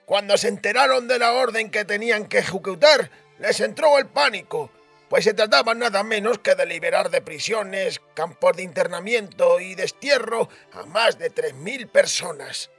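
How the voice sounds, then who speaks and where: A man's voice: high-pitched, normal speed, gravelly, fairly bright tone, faint music behind, exaggerated voice. An actor playing a frail old duke who fondly recalls his royal grandfather in a dramatised audiobook. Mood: reminiscing. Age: older. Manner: reminiscing, storytelling.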